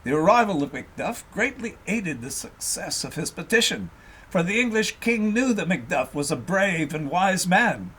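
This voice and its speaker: A man reads a historical tale in an expressive storybook manner. His voice sits a little high and moves widely up and down, with a husky edge to it. He sounds like a narrator guiding listeners through old legends. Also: low-quality recording. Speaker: audiobook narrator and host.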